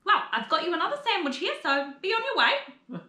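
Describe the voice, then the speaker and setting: A woman's voice: extremely high pitch, very animated, brisk, sharp tone, exaggerated voice. A woman playing a scheming, exasperated stepmother in a children's fairy tale, theatrical and bossy. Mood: bossy, coaxing. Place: small living room, on a sofa. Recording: roomy indoor echo.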